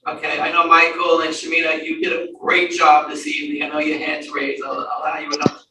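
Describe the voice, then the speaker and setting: A man's voice: fairly high pitch, completely flat delivery, quick pace. Polite guy running a public meeting, echoey room, mic far away, bit of noise. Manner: polite, welcoming. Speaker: meeting chair. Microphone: room mic from a distance.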